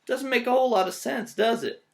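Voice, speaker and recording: A man reading a children's story aloud as a teacher, expressive and full of playful joking asides. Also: very high-pitched, a little fast, gravelly.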